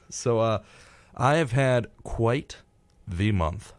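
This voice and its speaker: Young American male college radio co-host: very low pitch, halting casual delivery, dry studio sound. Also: animated, clean tone, no room sound. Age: young adult. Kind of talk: casual live radio chat.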